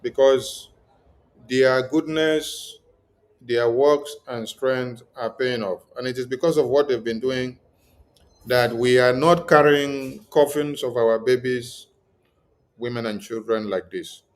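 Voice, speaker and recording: A man's voice: medium pitch, slow. A man hosting a live-streamed political address, repeatedly asking his viewers for help. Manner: repetitive, pleading requests to viewers. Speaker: livestream host.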